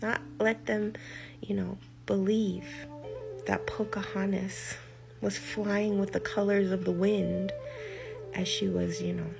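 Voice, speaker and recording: An older woman, a healer, speaking in a slow, deliberate monologue, stressing her points by repeating them and letting phrases trail off. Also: mid-range voice, lively intonation, vocal fry. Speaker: healer giving a talk. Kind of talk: reflective spoken monologue. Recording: music behind the voice.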